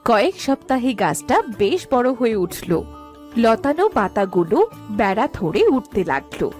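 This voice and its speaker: A woman's voice: on the high side, very animated, normal speed. A woman narrating a scripted cartoon folk story, with music behind her.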